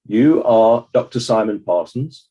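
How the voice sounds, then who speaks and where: A man's voice: on the low side, animated, unhurried, mellow, husky, highs cut off. A man playing a formal, authoritative examiner who questions witnesses at a dramatized inquest.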